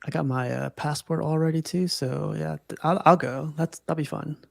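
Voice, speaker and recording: A man hosts a relaxed livestream chat from a video call, rambling and laughing often. His voice sits a little high with a gravelly edge. The sound is dry and close. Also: moderate pace, no room sound. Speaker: podcast host.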